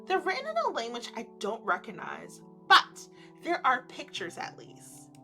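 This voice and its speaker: A woman's voice: on the high side, wildly swooping pitch, measured pace, pushed and bright, faint music behind. A woman reading a visual novel aloud, with playful, effusive, casual reactions. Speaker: streamer playing a visual novel.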